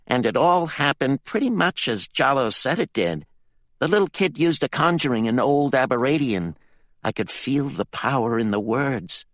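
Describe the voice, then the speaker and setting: A man's voice: medium pitch, lively intonation, normal speed, fairly bright tone, no room sound, voice pushed up, close to an everyday voice. A man voicing a rattled male companion who hurriedly tells what he saw. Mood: earnest. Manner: urgent, breathless retelling.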